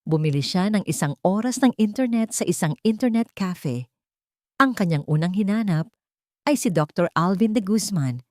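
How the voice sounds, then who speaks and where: A woman's voice: lowish voice, a little mellow, dry recording, clean studio sound. A woman reading a scripted dramatic story as a storyteller.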